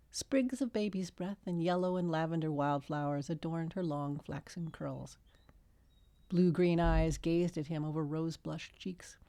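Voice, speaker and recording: A woman's voice: sits low, dark tone, dry recording. A woman author reading her own fiction as scripted storybook narration.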